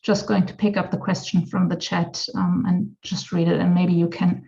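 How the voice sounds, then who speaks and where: A woman hosts an online interview, asking questions hesitantly with many fillers. Her voice is fairly low, gravelly and flat in pitch. The audio sounds dull and lo-fi. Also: moderate pace.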